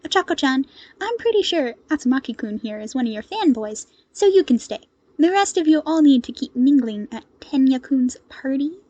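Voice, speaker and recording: A woman voicing a cheerful, modest young hero strategist in an audio story, with an earnest, gently exasperated manner. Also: high-pitched, lively intonation, normal speed, no room sound, highs cut off. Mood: mock stern, friendly.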